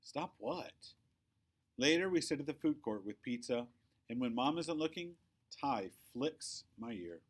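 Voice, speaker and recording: Man, medium pitch, expressive, children's novel read-aloud, storytelling narration. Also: normal speed. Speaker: audiobook-style reader.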